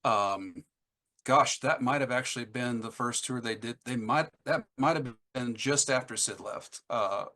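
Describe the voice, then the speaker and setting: Casting: middle-aged man, crisp forward tone, mid-range pitch, enthusiastic interview guest who rambles through anecdotes. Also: measured pace, bright, forceful tone. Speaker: interview guest, singer-songwriter. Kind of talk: casual anecdotal chat.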